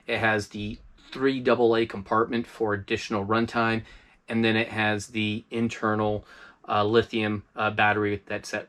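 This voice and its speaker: A man casually reviewing a budget radio as host of his channel, relaxed and chatty with lots of fillers, recorded inside an RV. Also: lowish voice.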